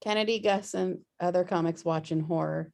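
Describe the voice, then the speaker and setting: A woman's voice: on the low side, fairly even intonation, normal speed, vocal fry, darkish tone. A woman reading screenplay stage directions as scripted narration on a video-call table read.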